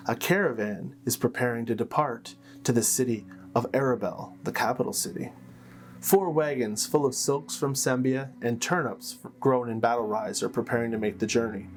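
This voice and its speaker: A man hosting as a game master, narrating fantasy lore and story like a measured storyteller with dramatic pauses. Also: mid-range voice. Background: plucked guitar music.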